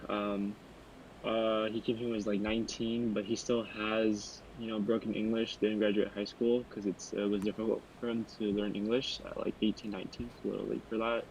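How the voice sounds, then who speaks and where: A young man with a lowish, flat voice, chatting loosely on a student podcast. He rambles, circles back and fills gaps with likes and ums, and keeps an even, unhurried tone. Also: slight background noise, low-quality recording. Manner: rambling, many fillers and restarts, loses his thread. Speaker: podcast panelist. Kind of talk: casual podcast discussion.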